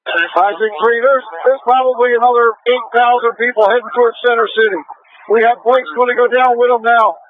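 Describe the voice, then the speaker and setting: A man's voice: extremely high pitch, fairly even intonation, a little fast. A man working as a police officer in the field, reporting over a tinny two-way radio on a scanner feed.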